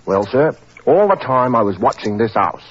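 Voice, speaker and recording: An eager, plodding constable in an old radio drama. His husky voice swings widely in pitch and his talk is folksy and respectful. The recording is muffled. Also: sing-song, normal speed. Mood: earnest, deferential. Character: earnest, deferential working-class London police constable, eager and plodding. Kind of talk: 1940s radio acting.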